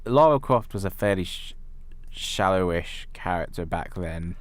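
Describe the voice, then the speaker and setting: A man's voice: low voice, animated, unhurried, no echo. A man co-hosting a podcast, talking casually about films with his fellow hosts. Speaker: podcast co-host.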